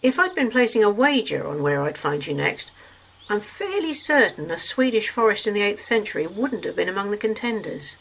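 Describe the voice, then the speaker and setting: A reader puts on an arch, refined woman with a poised, teasing lilt. Her pitch swings widely and the voice has a gravelly edge. A hiss sits under the storybook reading. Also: sing-song, brisk, fairly bright tone, low-quality recording.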